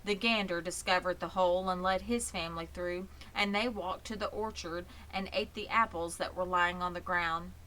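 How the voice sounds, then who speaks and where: A woman narrating a children's story in an expressive, measured way. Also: fairly low pitch, slight background noise, low-quality recording. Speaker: audiobook reader.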